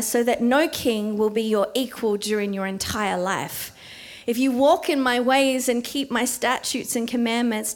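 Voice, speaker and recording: A woman in her forties preaching a sermon, slowing to stress key words. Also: a little high. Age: 40s.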